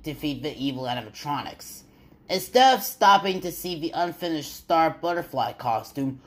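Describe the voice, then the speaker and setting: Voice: medium pitch, a bit bright. A narrator reading a scripted story aloud, stumbling now and then over the words.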